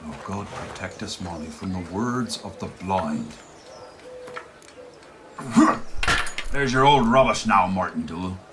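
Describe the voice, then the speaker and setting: An actor playing a burly, gruff, jealous Irish village blacksmith in a radio play, blunt and menacing. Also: male voice, deep voice, expressive, takes it slowly, pauses often, background noise. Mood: mocking, threatening. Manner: menacing, blunt dialect speech. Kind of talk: theatrical radio play acting.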